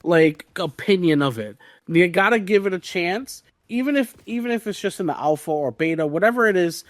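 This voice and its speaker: A man's voice: high voice, expressive, a little fast, no room sound. A man co-hosting a casual gaming podcast, talking emphatically and repeating his points for stress. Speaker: podcast co-host.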